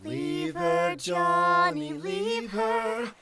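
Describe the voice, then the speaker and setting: A woman playing a gentle, grieving young girl in an audio drama, speaking and singing softly and tenderly. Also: high-pitched, huge pitch swings, gravelly, loud music behind the voice, full character voice. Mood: mournful singing. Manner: soft, tender, slow. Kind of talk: audio drama acting, sea shanty singing.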